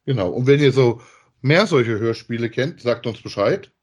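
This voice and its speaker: A man's voice: medium pitch, animated, normal speed, a little mellow. A man chatting casually as co-host of a podcast that reviews audio dramas.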